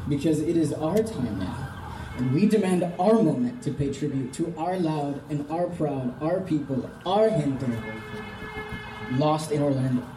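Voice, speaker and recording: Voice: medium pitch, dark tone, slight background noise. A person from Florida giving an earnest, impassioned speech at a memorial vigil, solemn and rising to fervor. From Florida, United States. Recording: echoey and distant, faint ambience.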